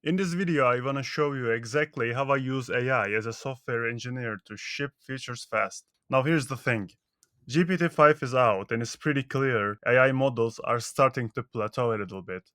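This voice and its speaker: A male software engineer whose first language is Turkish, giving a scripted tutorial tip by tip in a brisk, matter-of-fact way. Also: mid-range voice, no echo, studio quality.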